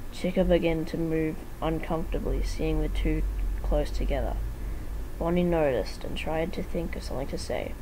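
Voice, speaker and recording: A woman narrates fan fiction in an informal, amateur way. Her voice is mellow and a little low, and there is faint noise in the recording. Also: moderate pace, rough recording.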